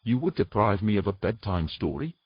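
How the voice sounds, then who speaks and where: A man playing a weary, gruff knight with dry, teasing humour in a romantic fantasy audio drama. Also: lowish voice, big pitch swings, fairly quick, dry recording, lo-fi sound. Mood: curious, gentle.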